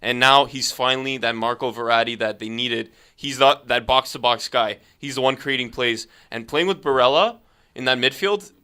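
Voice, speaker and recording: Male host with a crisp, forceful voice, opinionated and emphatic. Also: medium pitch, normal speed. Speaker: podcast host. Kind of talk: casual sports chat.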